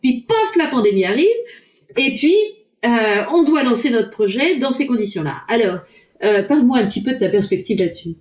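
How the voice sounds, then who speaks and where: A woman's voice: on the high side, lively intonation, fairly quick, a little mellow. A woman interviewer who lays out a project at length and then asks questions. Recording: echoey room.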